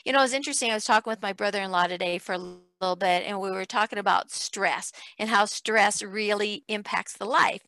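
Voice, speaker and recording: A woman's voice: mid-range voice, fairly quick, few pauses, pushed and bright. A woman hosting a conversational interview show, speaking in an emphatic, motivational way. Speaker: talk show host.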